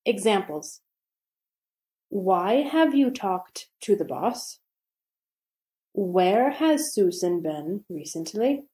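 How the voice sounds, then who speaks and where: A woman reading a scripted grammar lesson aloud, slowly and deliberately with pauses between phrases. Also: mid-range voice, very animated, dark tone. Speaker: English teacher.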